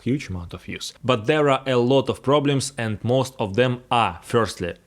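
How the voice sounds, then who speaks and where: A man with a Russian accent, a non-native English speaker, explaining YouTube formats in a talking-head video and pausing often on fillers. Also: mid-range voice. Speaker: YouTube strategy creator.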